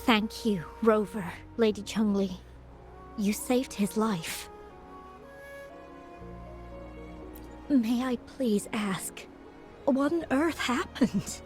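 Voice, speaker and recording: A gentle, fragile young woman in a game drama. Her voice is soft and fairly high, and she speaks slowly and haltingly, as if unwell. Music plays behind her. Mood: gentle, puzzled. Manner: halting, hesitant, slow. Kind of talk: video game drama acting.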